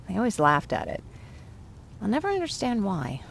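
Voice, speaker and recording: An actress playing a warm, joking young survivor in a video game, chatty and quick to apologise for herself. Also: female voice, medium pitch, huge pitch swings, creaky, slight background noise, exaggerated voice. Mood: wistful, chatty. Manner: chatty, self-deprecating, apologetic. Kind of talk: video game dialogue acting.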